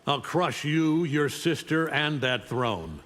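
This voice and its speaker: A man's voice: fairly high pitch, lively intonation, slow pace, fairly bright tone. An imperious caped alien conqueror in his middle years, built for a fighting game. He threatens and talks down to everyone, with a long hall reverb around the words. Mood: cold, domineering. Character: arrogant, menacing middle-aged alien superhuman conqueror in a cape. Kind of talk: video game fight-intro dialogue.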